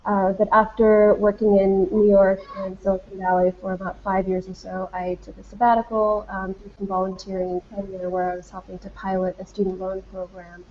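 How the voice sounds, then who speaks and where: A woman's voice: mid-range voice, flat delivery, a little fast, few pauses. A woman from China introducing herself as a fellow on a video call, fluent and businesslike. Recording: lo-fi call audio with faint background hum.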